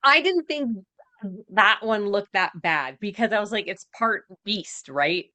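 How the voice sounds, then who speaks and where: A woman's voice: medium pitch, big pitch swings, measured pace, bright, forceful tone, no room sound. A woman co-hosting a podcast, reacting in a very informal, chatty way. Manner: informal, peppered with "like". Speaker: podcast co-host. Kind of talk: casual reaction chat.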